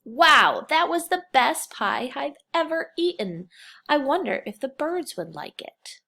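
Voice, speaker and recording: A woman's voice: sits high, a little slow, creaky. Woman reading a picture book aloud to kids. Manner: expressive, simple teacherly phrasing with prompts to young children. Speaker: kindergarten teacher reading aloud. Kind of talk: children's picture book read-aloud with questions to listeners.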